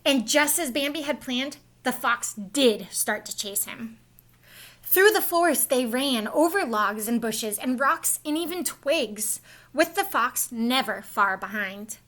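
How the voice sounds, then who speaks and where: A woman reads a picture book aloud for young children. Her voice is high and bright, and she tells the story expressively, taking care that small listeners follow along. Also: expressive, slow pace. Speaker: storybook reader, school staff.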